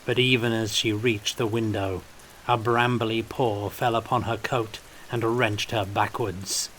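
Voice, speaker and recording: Guy narrating a fiction audiobook, unhurried, mid pitch, faint rain and hiss behind him. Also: fairly even intonation, fairly bright tone, low-quality recording.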